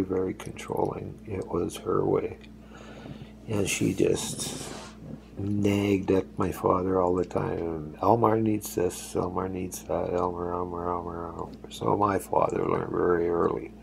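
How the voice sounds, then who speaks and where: Man, 60s, Estonian first language, home vlog on phone: deep, slow, reflective life story with restarts. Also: creaky, a little mellow, slight background noise. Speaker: vlogger. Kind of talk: personal storytelling. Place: indoors, seated on a couch at home. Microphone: phone camera held close.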